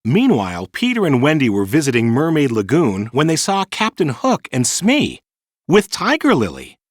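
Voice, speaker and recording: A man reading a children's storybook in an expressive, clearly paced storytelling manner. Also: medium pitch, moderate pace, dry recording, studio quality. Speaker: narrator. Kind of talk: scripted children's storybook narration.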